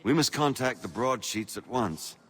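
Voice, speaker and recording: An actor playing a shrewd, persuasive colonial revolutionary leader in a video game, speaking formally and rhetorically with assurance. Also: male voice, mid-range voice, expressive, normal speed, breathy edge. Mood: assured, pragmatic. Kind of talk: dramatic game dialogue. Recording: long reverberant hall echo.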